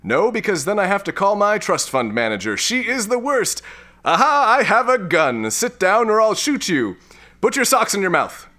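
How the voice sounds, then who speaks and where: An actor playing a vain, lecherous, cowardly space lord in a florid, grandiose manner for a comic sci-fi audio drama. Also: male voice, high-pitched, very animated, normal speed, few pauses, fairly bright tone. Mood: panicked, bossy.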